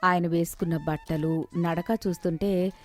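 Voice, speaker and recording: A woman's voice: on the low side, steady tone, normal speed, soft background music. A woman reading scripted narration as the storyteller of a moral tale.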